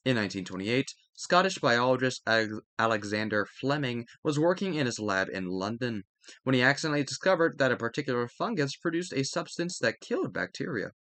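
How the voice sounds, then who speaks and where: Guy reading study material out loud, super up and down voice, trips on words a bit, really dry sound no room. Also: medium pitch, lively intonation, brisk, no room sound. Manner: stumbles over words and corrects himself. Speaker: reader and host of study videos. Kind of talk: reading a textbook aloud with added comments.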